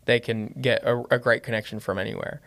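A man's voice: mid-range voice, fairly even intonation, fairly quick, creaky, fairly bright tone. Rambling man with a slight Lebanese accent. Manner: rambling, many fillers and restarts. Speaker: podcast guest, business owner. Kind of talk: casual conversation.

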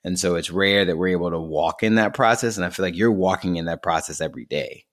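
A man's voice: deep voice, fast talker, a bit bright. A man interviewing casually as a podcast host, earnest and informal, with loosely phrased questions.

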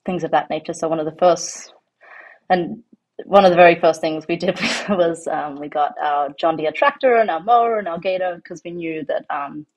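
A woman's voice: lowish voice, normal speed, poor audio. An Australian woman in her thirties, talking casually as an interview guest, rambling with many ums and restarts. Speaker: guest, home farmer.

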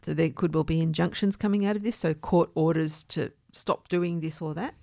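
Low-voiced, creaky woman podcast host. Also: fairly even intonation, normal speed, warm tone, dry recording, studio quality. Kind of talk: explanatory podcast discussion.

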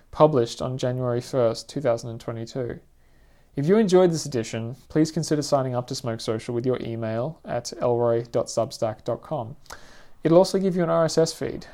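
A man narrates a scripted personal essay as its author. His voice sits at a middling pitch, and his reading is measured and thoughtful, with a literary turn to the delivery. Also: dark tone. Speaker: writer reading own essay aloud.

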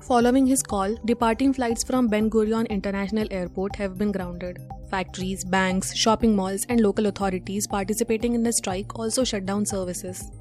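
A woman's voice: medium pitch, fairly even intonation, moderate pace, a little mellow, faint music behind. A woman with an Indian English accent presenting a scripted news bulletin.

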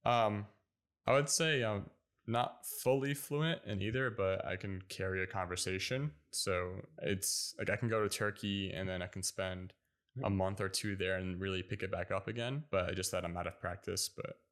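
A man's voice: deep voice, normal speed, a bit bright. A man from the United States hosting a casual interview, asking questions and encouraging his guest in a friendly way. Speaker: interviewer, host.

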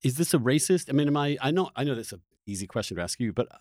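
A man's voice: medium pitch, animated, rapid-fire, darkish tone, no echo, clean studio sound. A man hosting a reflective long-form interview, who feels his way through his thoughts and often restarts phrases mid-sentence. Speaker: podcast host interviewing a guest.

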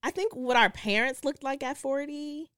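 A woman's voice: sits high, moderate pace, no echo. A woman in her early forties co-hosting a podcast, chatting casually and playfully with her close friend. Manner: animated, playful. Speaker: podcast co-host. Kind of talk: casual girl-talk chat between close friends.

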